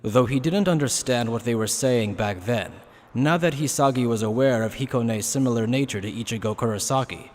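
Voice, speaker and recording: A man's voice: medium pitch, moderate pace, few pauses, darkish tone. A man reading dramatic scripted story narration.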